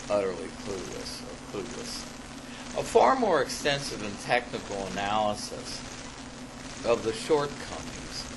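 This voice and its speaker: Slow, low-voiced man lecturing over noise. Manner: halting, deliberate delivery with repeats. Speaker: academic presenting own paper to a class. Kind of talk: reading a paper aloud with asides. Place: classroom. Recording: clearly noisy live classroom recording.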